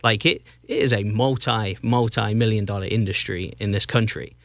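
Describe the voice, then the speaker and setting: A man from England with a Northampton-area English accent, a soccer club president chatting as a guest and often pausing and restarting. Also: on the low side, steady tone, vocal fry, a bit bright, no echo. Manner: easygoing, halting with restarts and fillers. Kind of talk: casual interview chat.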